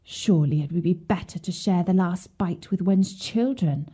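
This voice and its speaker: A woman voicing a soft-hearted, guilt-ridden father in a children's storybook, mild and plain-spoken. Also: on the low side, normal speed, breathy edge. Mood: heavy-hearted.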